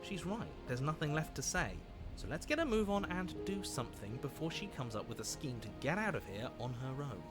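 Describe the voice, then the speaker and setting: A man acting in a horror visual novel, delivering dramatic, deliberate scripted lines in literary phrasing. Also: a little high, big pitch swings, over music. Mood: urgent. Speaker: game narrator. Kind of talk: dramatic scripted game narration.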